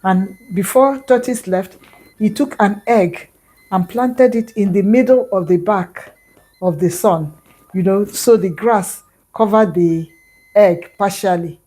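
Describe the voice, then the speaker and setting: A woman's voice: medium pitch, slow, mellow, poor audio. A woman with a Nigerian accent narrating an oral folktale conversationally, adding small explanatory asides as a storyteller.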